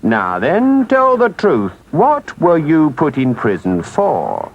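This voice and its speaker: A man's voice: fairly high pitch, wildly swooping pitch, moderate pace, husky, highs cut off, full character voice. A man voicing a stern but kindly engine driver in a storybook, earnest and plain-spoken. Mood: stern, then kindly.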